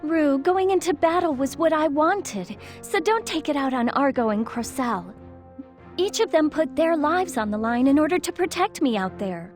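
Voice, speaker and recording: A woman's voice: high-pitched, lively intonation, normal speed, soft background music. A woman playing a young princess commander in a video game, gracious, loyal and willful, polite and earnest. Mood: earnest, defensive. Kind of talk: video game cutscene voice acting.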